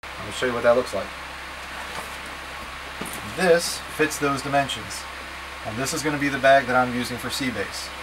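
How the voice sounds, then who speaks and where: Male trip leader, thirties, mid-pitched, practical instructional delivery, casual and direct, noticeable background hum. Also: moderate pace, pauses often. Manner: plain-spoken, step-by-step, casually directive. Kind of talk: instructional packing talk to camera. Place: small wood-panelled boat cabin, indoors. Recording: steady background hum and noise.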